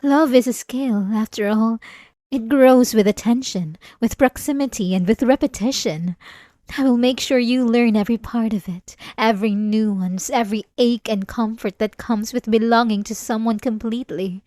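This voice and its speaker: A woman's voice: a little high, moderate pace, dark tone, no echo, studio quality, close to an everyday voice. A woman playing an obsessive, possessive centuries-old vampire in an ASMR roleplay, speaking in a theatrical, silky, menacingly tender way. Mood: coaxing, menacing.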